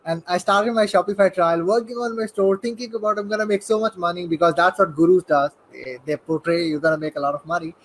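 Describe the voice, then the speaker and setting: Eager young man, high voice, full of "like". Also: fairly even intonation, fast, few pauses, poor audio. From Saudi Arabia. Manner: eager, rambling, constant "like" and "you know". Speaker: guest entrepreneur. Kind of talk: casual interview talk.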